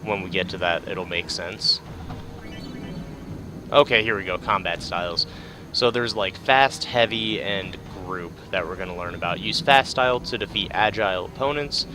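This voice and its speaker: A man's voice: deep voice, moderate pace, background noise. A man doing casual, laid-back game commentary, thinking aloud and reading tutorial text. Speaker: let's play host. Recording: game music behind. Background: game music.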